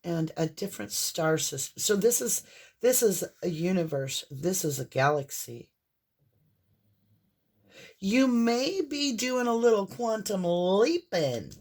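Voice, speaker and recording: A woman with a hoarse voice and a mixed Southern and Northern American accent, giving a casual, rambling tarot reading straight to the viewer. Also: mid-range voice, big pitch swings, takes it slowly, darkish tone. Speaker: tarot reader.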